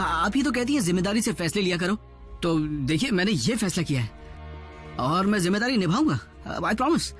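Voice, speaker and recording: An actor voicing a nervous, talkative sixteen-year-old boy in a cartoon dub. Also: high-pitched. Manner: stammering, fast, eager. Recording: dubbed with music underneath.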